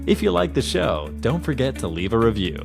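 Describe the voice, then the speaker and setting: A man's voice: mid-range voice, sing-song, a little fast, gravelly. A man reading a scripted, upbeat show introduction. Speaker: show announcer. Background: guitar music.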